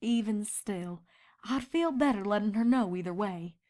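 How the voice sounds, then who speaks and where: A woman's voice: mid-range voice, moderate pace, warm tone, close to an everyday voice. A woman voicing a protective, responsible young farm mare, a folksy and bossy but caring big sister in a story. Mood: reassuring.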